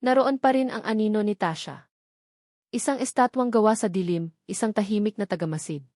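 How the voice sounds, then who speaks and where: A woman reads scripted dramatic fiction as its narrator. Her delivery is measured and builds suspense. The sound is close and dry, with very little room on it. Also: mid-range voice, darkish tone, no echo. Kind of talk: dramatic scripted first-person story narration.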